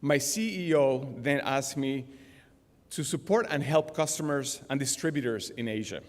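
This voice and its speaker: A man's voice: mid-range voice, moderate pace. Venezuelan man speaking in an echoing hall. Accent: Venezuelan Spanish. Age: middle-aged. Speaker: graduation speaker, business executive. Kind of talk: formal commencement speech. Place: large indoor auditorium stage. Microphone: podium gooseneck microphones. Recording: long hall reverb from auditorium PA.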